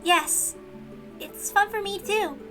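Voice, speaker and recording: A woman voicing a gentle, polite young servant girl, earnest and hesitant, in a story reading. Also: very high voice, slow, faint music behind, voice pushed up, heavily acted. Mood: shy.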